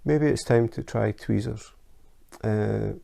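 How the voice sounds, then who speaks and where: A Scottish man hosting a casual how-to craft vlog, chatty and relaxed, with frequent ums and uhs. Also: lowish voice, takes it slowly, gravelly. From Scotland. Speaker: YouTube craft channel host. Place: his home in Denmark.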